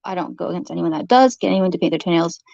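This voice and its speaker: Woman with vocal fry, argumentative and repetitive, informal monologue, dull dry sound. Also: lowish voice, animated, a little mellow, no echo. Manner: accusatory, halting, repeats phrases.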